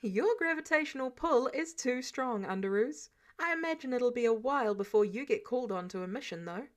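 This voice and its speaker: A woman's voice: on the high side, lively intonation, a little fast, lightly acted. A woman voicing a wry, protective grown man, a superhero mentor with a casual, sardonic manner, in a story reading. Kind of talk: character dialogue in fan fiction reading.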